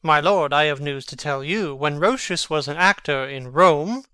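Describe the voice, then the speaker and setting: A man acts a young prince in a Shakespearean drama, witty and mocking as he feigns madness. His voice is highish, very bright and clean, recorded close and dry. The delivery is theatrical, with a sly, sardonic edge. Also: normal speed, clean tone, dry recording. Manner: theatrical, teasing, archly sardonic.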